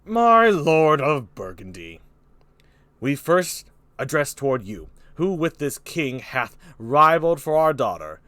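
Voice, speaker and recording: Old tragic king, played by a man: wrathful, imperious, slow emphatic delivery, very animated intonation. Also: fairly high pitch, no echo, exaggerated voice. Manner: slow, emphatic declamation with pauses.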